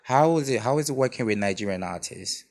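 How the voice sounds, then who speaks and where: A man's voice: mid-range voice, animated, fast talker, darkish tone. A man hosting an interview podcast, asking long questions with many restarts and fillers. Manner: hesitant, repeats himself, many fillers. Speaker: podcast host.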